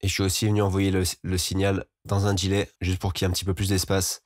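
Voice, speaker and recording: A man giving an informal, explanatory software tutorial as a voice-over. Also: low-pitched, completely flat delivery, fast. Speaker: music production tutorial host.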